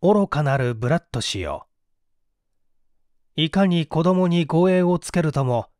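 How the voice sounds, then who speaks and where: A man acts a menacing letter-writer in a storybook reading. The speech is pompous and archaic, declaimed with mocking swings in pitch, in a dry sound. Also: darkish tone, dry recording.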